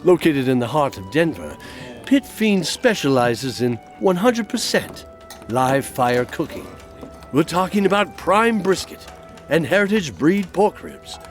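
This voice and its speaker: A male announcer delivers a theatrical fantasy-style ad read. His medium-pitched voice moves up and down a lot for emphasis, over a quiet music bed. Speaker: advertisement voice-over.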